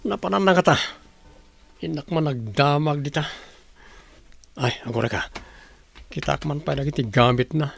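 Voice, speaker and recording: A man's voice: fairly high pitch, moderate pace, creaky, pauses often. A man playing a troubled, ailing man who is short of money in a radio drama. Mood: worried searching.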